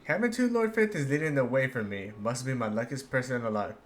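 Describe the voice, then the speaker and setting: A man gives casual video game commentary, reading lines aloud in a halting, stumbling way. His voice sits at a middling pitch, with clear noise behind it.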